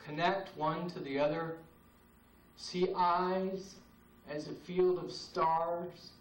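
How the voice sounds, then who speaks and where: Male spoken-word poet, high voice, unhurried incantatory reading with long pauses, on a roomy tape heard from across the room. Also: steady tone. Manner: slow, solemn, incantatory delivery with long pauses. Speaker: poet performing at an open mic. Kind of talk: spoken-word poetry performance. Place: open mic night in a cafe. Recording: roomy, distant VHS tape recording.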